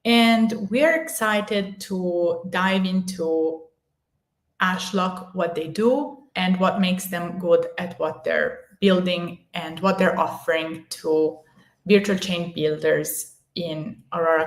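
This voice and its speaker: A woman hosting an interview, speaking in a measured way with ums between phrases. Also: fairly low pitch, fairly even intonation, roomy sound. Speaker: host. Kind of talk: hosting a live audio interview.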